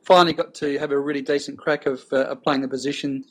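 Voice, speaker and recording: A man's voice: mid-range voice, fairly even intonation, fast talker, breathy edge. A man on a casual football chat, talking in an earnest, appreciative way. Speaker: podcast panelist.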